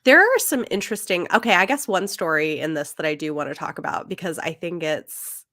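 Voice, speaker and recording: Female co-host, slightly low pitch, dry sound; informal, halting book chat. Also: animated, bright, forceful tone, no echo. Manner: informal, halting, thinking aloud. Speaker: podcast co-host. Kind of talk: casual book discussion chat.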